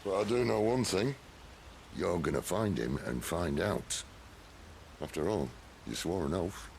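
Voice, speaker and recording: An actor playing a gruff, wry, middle-aged British outlaw leader in a video game cutscene, blunt and sardonic with a gravelly voice. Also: male voice, deep voice, expressive, measured pace, darkish tone, a little hiss or hum, full character voice. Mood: blunt, urging.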